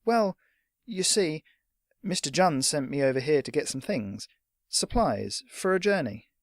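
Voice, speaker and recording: A man's voice: on the high side, very animated, a little slow, no echo, studio quality, pitched above the performer's own voice. A man voicing an eager but timid young boy who speaks hesitantly and politely.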